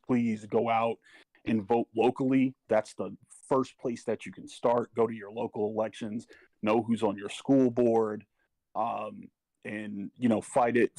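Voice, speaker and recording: Gravelly, halting, earnest man. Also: on the low side, mellow, no room sound, poor audio. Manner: halting, earnest, searching for words. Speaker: parent speaking as a panel guest. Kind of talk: personal testimony in casual talk.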